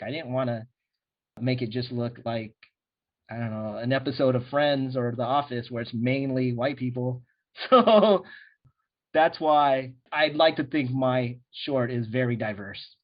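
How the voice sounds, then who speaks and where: A middle-aged American man talking candidly as a guest in a casual interview, often restarting his sentences. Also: medium pitch. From USA. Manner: earnest, candid, frequent restarts. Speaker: guest, animation director. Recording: dull lo-fi recording.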